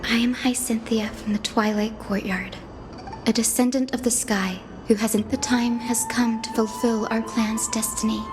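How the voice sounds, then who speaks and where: Woman as a high-voiced, earnest young healer heroine. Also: fairly even intonation, normal speed, background noise, lightly acted. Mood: solemn resolve. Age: young adult. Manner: earnest, polite, formal. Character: gentle, resolute young healer heroine of sky people. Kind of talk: video game voice acting.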